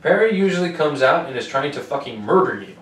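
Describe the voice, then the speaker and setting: A man's voice: a little high, expressive, fast talker, a bit bright, slight background noise. Brief: young American man, casual podcast co-host, swears freely, distant mic in a roomy space. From United States. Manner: loose, profane, joking. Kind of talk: casual sports podcast chat.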